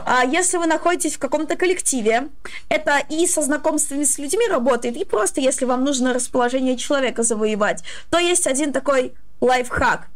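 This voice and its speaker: A woman in her twenties giving advice in casual, halting, explanatory talk. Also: sits high. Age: 20s.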